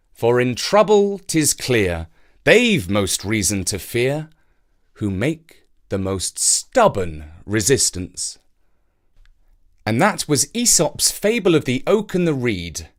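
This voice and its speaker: A man works as a storyteller, presenting and reading verse from a script. His delivery is slow, measured and dramatic, with an animated, widely moving pitch.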